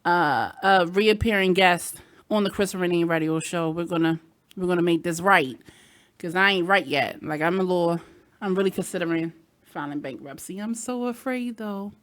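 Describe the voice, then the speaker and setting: A woman's voice: fairly low pitch, normal speed, fairly bright tone, dry recording. A woman radio host with a Baltimore accent, chatting candidly with sassy asides. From Baltimore, Maryland. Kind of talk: casual chat. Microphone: headset.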